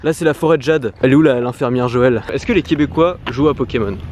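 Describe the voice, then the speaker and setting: A man's voice: mid-range voice, fast, a little mellow, a little hiss or hum. A man with a Parisian French accent chatting casually as a vlog host, joking and tongue-in-cheek, recorded outdoors on a handheld camera. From France, Paris. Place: outdoors in a city park at night. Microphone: compact camera held by the speaker.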